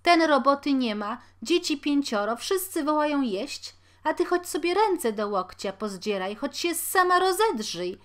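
A woman voicing a harried poor mother who scolds loudly and pleads tearfully. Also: fairly high pitch, lively intonation, unhurried, voice pushed up. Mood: pleading.

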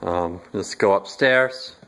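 A young man giving a casual walking tour of his house, relaxed and halting with lots of fillers, recorded on a handheld phone indoors. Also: deep voice. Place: inside a house, walking room to room. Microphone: handheld phone camera, filming rooms.

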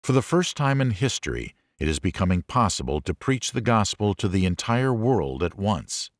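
A man reading a religious audiobook as a scripted narration, in a measured, clearly enunciated manner. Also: fairly low pitch, big pitch swings, dry recording, clean studio sound.

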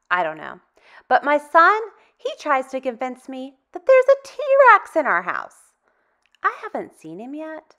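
A woman hosting a storytime for young children, reading and chatting in an animated, expressive, deliberate way. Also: high voice. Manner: animated, expressive, deliberate phrasing with dramatic hushes. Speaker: storytime host. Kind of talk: children's read-aloud, addressing young children.

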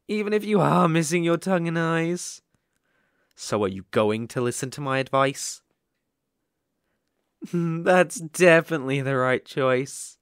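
Man playing a sadistic male yandere captor, creaky, unhurried, mock-sweet, menacing, possessive, ASMR roleplay. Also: mid-range voice, a bit bright, no room sound, studio quality. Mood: coldly threatening.

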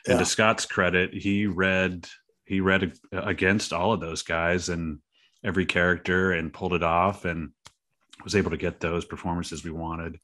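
A man's voice: low-pitched, level tone, moderate pace, sharp tone. A man answering as a podcast guest in casual interview chat. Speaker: podcast guest, creator and writer.

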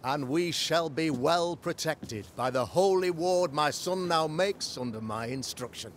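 An actor playing a pompous, pious, middle-aged preacher in a video game, with florid, oratorical delivery. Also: male voice, on the high side, unhurried, slight background noise, lightly acted. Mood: grandiose preaching. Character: pompous, pious preacher, learned man of the cloth. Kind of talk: theatrical video game acting, sermonizing.

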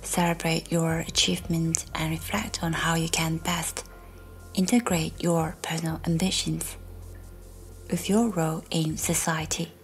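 A woman reading a scripted guided meditation in a soft, breathy, near-whispered voice, gentle and measured with long pauses. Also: low-pitched, little pitch movement. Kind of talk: slow, soothing guided meditation, scripted narration. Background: faint music.

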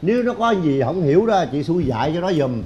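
Protective, easily riled southern Vietnamese father-in-law, middle-aged, quick stammering speech, slightly noisy stage recording. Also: fairly high pitch, big pitch swings, warm tone, dull audio. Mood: deferential. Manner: stammering, repeats words in a fluster. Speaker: comic stage actor. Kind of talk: broad stage comedy acting.

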